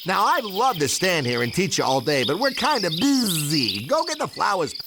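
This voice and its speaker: A man's voice: high-pitched, very animated, normal speed, heavy background noise. A man playing a cocky, bossy veteran pilot bee in a cartoon game, teasing and commanding over music. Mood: bossy, urgent. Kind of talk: animated video game cutscene acting. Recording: loud music behind the voice.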